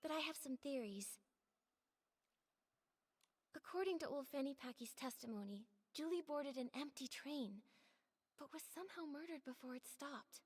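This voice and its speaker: High-voiced earnest young heroine played by an actress. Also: female voice, normal speed, long pauses, lightly acted. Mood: pensive, earnest. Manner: thoughtful, earnest explaining. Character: gentle, earnest young female adventurer turned investigator. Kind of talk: video game voice acting.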